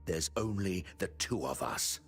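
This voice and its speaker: An actor playing an obsessive, callous puppet-maker villain in a video game, theatrical, swinging between musing and menace. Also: male voice, low-pitched, animated, measured pace, soft background music. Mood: cold, commanding.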